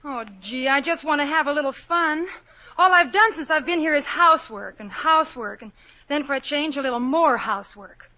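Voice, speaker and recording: A woman in a 1940s radio musical playing a spirited, restless young heroine who complains wryly and quickly about her dull life. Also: high voice, sharp tone. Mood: complaining. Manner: quick wry complaints, sings in the show. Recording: lo-fi 1940s radio broadcast with orchestra.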